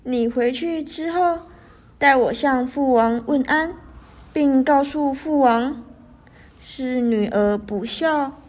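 A young woman playing the gentle, pleading ghost of a princess in an amateur radio drama. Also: high-pitched, slow pace. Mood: sorrowful. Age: young adult. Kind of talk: student radio drama acting.

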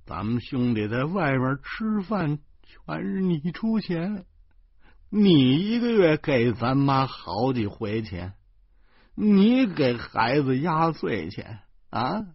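A man voicing a weepy, aggrieved younger brother who wails in a high, sobbing voice. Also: sing-song, slow, slightly rough, no room sound, dull audio, full character voice. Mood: bitter. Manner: wailing, high-pitched, sobbing.

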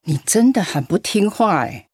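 A woman's voice: mid-range voice, big pitch swings, normal speed, darkish tone, husky, no room sound, studio quality. An actress playing a worried, scolding older female friend in a radio drama. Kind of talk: Mandarin radio drama acting.

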